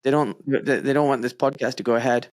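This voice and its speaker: A man's voice: medium pitch, fairly even intonation, fast talker, a little mellow. A man hosting a podcast over a remote call, chatting casually and animatedly with friendly slang and laughter. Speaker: podcast host. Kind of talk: casual interview chat.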